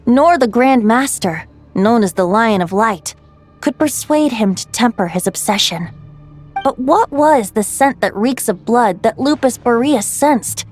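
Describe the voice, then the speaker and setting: A woman playing a calm, playful female guide telling old lore in a video game, measured and poised. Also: on the high side, soft background music. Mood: measured, solemn. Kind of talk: scripted game dialogue, storytelling.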